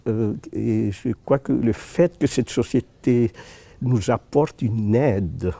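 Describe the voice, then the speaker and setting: A man's voice: mid-range voice, slow, vocal fry, dark tone, husky, dry recording. A man from Madagascar gives an informal testimonial as an association president, hesitantly repeating words as he searches for phrasing.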